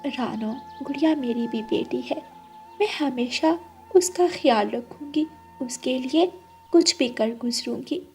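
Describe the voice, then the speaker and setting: A devoted female bird in a cartoon played by a woman talks in a high voice at an unhurried pace. Her manner is soothing and reassuring, and music plays behind her. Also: sing-song, a little mellow. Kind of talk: Hindi cartoon character acting.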